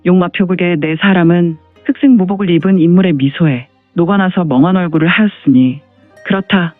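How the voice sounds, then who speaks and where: A man with a high voice narrates a martial arts tale as scripted storytelling. The recording is dry and dull, with faint music underneath. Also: fairly quick, no echo.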